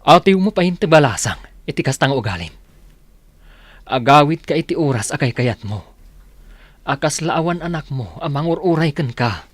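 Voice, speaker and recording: An actor playing an aggrieved husband who pleads with his wife, with a husky voice, in a radio drama. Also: fairly high pitch, animated, normal speed, no echo.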